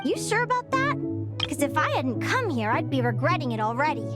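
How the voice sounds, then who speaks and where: Game role, curious young woman; very high, animated voice, unhurried, pleading tone. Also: loud music behind the voice. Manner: persistent, pleading, eager questions. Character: earnest curious young woman, a keen nature student. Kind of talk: game cutscene voice acting. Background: game score.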